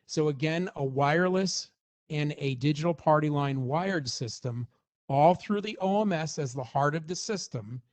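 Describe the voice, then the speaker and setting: Higher-pitched man presenting a product demo. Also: moderate pace, darkish tone. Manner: informal, explanatory. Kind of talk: semi-scripted product demonstration and explainer.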